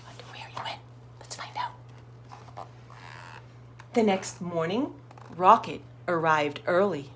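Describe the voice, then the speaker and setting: A woman reading a storybook aloud to young children, expressive and encouraging, putting questions to her listeners. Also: lowish voice, slow pace, pauses often.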